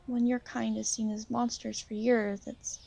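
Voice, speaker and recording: Girl acting as a shy insecure vampire lady, asmr roleplay, mellow n a bit creaky, hesitant and gentle. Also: a little high, little pitch movement. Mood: earnest, gentle. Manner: hesitant, soft-spoken, gently halting. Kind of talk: ASMR roleplay, soft intimate talk to the listener.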